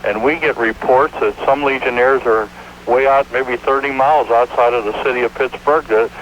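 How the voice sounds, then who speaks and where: Casting: American man, official answering interview questions, halting speech, muffled old radio clip. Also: mid-range voice, fairly even intonation, fairly bright tone, slight background noise. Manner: halting, hesitant, searching for words. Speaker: interviewee, veterans' organization official.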